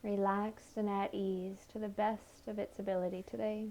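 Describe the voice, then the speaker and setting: A woman leading a guided meditation in a soothing, gentle manner, offering unhurried invitations. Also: medium pitch, flat delivery, a little hiss or hum. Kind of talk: guided meditation, body scan narration.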